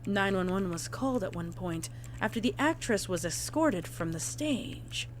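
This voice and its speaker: Female narrator, measured formal report reading, medium pitch, slight background noise. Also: lively intonation. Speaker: narrator of a horror fan fiction reading.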